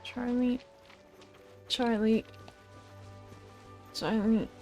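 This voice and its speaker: A woman's voice: on the high side, steady tone, drawn-out words, dark tone, soft background music. A woman streaming a game, casually muttering to herself as she works out a puzzle.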